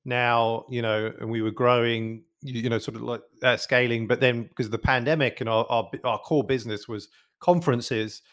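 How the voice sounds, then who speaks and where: A man's voice: medium pitch, steady tone, moderate pace, fairly bright tone. Rambling podcast host, man. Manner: rambling, many fillers and repeats. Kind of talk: casual interview chat.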